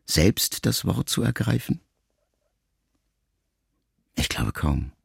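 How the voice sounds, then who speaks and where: Deep gravelly male audiobook narrator. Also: a little mellow, no echo. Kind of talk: literary audiobook reading.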